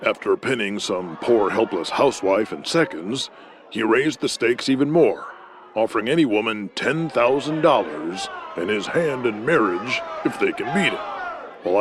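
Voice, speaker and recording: A man's voice: fairly low pitch, lively intonation, normal speed, lightly acted. An actor playing an emphatic 1980s male TV wrestling announcer doing folksy play-by-play in an audio drama, with noisy arena ambience. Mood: measured recap. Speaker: wrestling commentator.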